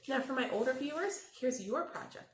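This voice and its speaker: A woman's voice: high-pitched, expressive, a little fast. A woman teacher talking to young viewers in a children's lesson, explaining things simply, step by step. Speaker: teacher hosting children's read-alouds. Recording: echoey room, faint background noise.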